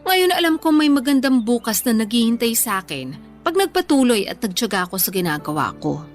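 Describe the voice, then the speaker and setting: Earnest, higher-voiced young woman, music behind. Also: very animated, fairly quick. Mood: hopeful, grateful. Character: earnest young woman on a weight-loss journey, hopeful. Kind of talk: Tagalog radio drama dialogue, conversational acting.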